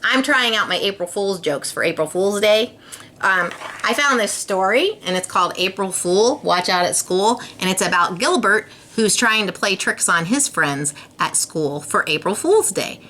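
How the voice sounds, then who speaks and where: Lady reading a kids book out loud, bright voice, really up and down, takes her time. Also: mid-range voice, normal speed, a little hiss or hum. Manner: expressive, addressing young listeners directly. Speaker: storybook reader. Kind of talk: children's read-aloud storytelling.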